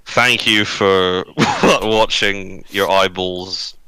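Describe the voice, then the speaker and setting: A man's voice: deep voice, little pitch movement, very slow, a crowd in the background, poor audio. A man hosting a casual podcast, with a wry and slightly theatrical turn of phrase. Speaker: podcast host.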